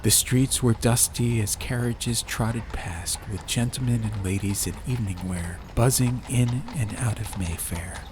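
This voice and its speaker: A man's voice: medium pitch, measured pace, few pauses. A man reading a scripted atmospheric storybook tale as an audiobook narrator. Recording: faint noise and ambience behind voice. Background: ambient background sound.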